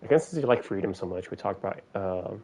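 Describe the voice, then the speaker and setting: A man streaming a game with casual commentary, reading bits of text aloud and thinking out loud hesitantly. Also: expressive, fast. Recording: noisy microphone with low rumble.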